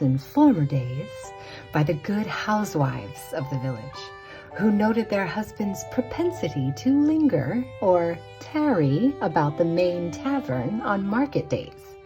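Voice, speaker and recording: A woman telling a spooky old tale as scripted narration, theatrical and deliberate, drawing out words for drama. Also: lowish voice, very animated. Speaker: storyteller, narrator. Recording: music behind the voice. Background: instrumental music.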